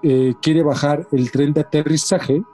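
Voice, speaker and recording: A man's voice: on the high side, steady tone, measured pace, warm tone. A man with a Mexican accent hosting a podcast, telling stories casually and repeating words for emphasis. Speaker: podcast host. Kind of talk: casual, animated storytelling. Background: faint music.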